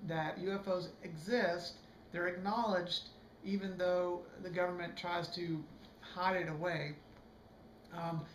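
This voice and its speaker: Hoarse man giving a rambling book review. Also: sits high, echoey room, a little hiss or hum, rough recording. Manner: rambling, many fillers and restarts. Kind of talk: casual video book review.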